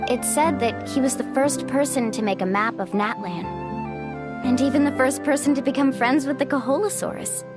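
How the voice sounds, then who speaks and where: A woman's voice: sits high, moderate pace, lightly acted. An actress voicing a caring young woman who leads her group as their guide in a video game, reassuring and upbeat. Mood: reflective. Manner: warm, reassuring, leader-like. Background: game music.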